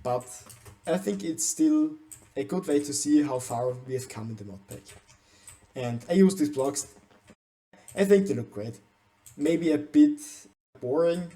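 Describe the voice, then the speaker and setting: A man's voice: fairly high pitch, lively intonation, unhurried, long pauses, dark tone. A young man from Austria, with German as his first language, speaking English with an Austrian German accent as he talks through a game base tour, hesitant and often restarting his words. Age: young adult. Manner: hesitant, frequent restarts and stumbles. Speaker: gaming channel host.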